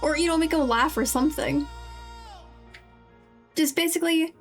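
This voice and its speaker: A woman's voice: high-pitched, normal speed, soft background music. A woman game streamer chatting casually and enthusiastically to viewers. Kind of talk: casual livestream chat.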